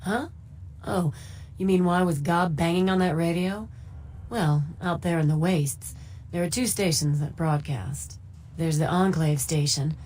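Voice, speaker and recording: A woman's voice: fairly low pitch, measured pace, darkish tone. An actress playing a frank, easygoing saloon woman in a video game, talking in a casual, matter-of-fact way. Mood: casual, explaining. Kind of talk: video game character dialogue.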